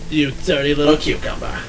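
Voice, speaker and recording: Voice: medium pitch, recorded from a distance, rough recording. A game commentator giving joking commentary over gameplay, teasing and laughing often.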